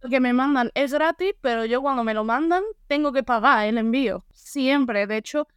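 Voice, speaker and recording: A young woman with a southern Spanish accent speaks frankly in a casual podcast interview, her voice pitched a little high. The tone is clean and smooth, recorded close and dry with no room sound. She rambles with plenty of fillers. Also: normal speed, dry recording. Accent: southern Spanish, Ceuta. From Ceuta. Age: young adult. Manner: frank, talkative, lots of fillers. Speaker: podcast guest.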